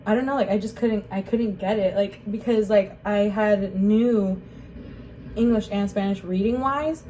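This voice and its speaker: A woman's voice: mid-range voice, unhurried, dark tone, a little hiss or hum, low-quality recording. A young woman telling her life story in an interview, hesitant and full of "like" and "um". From Rockford, Illinois, USA. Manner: hesitant, many fillers like "like" and "um". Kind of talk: casual spoken recollection.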